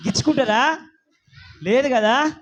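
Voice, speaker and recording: A man's voice: very high-pitched, a little slow, fairly bright tone, a little hiss or hum. A man with a Telangana accent hosting a children's puppet show, bantering playfully, coaxing and repeating things back as questions.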